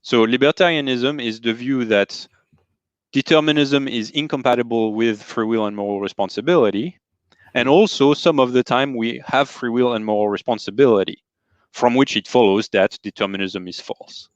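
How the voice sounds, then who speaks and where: A man's voice: mid-range voice, moderate pace, no echo, lo-fi sound. A man from France with a French accent, a guest philosopher who explains ideas carefully, defining terms step by step. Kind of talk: careful explanatory interview talk.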